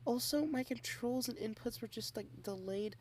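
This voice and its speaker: A woman speaking softly in a close-mic ASMR girlfriend roleplay, shy and rambling, with halting repeated starts and frequent half-whispers. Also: fairly high pitch, a little hiss or hum. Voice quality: soft, often half-whispered.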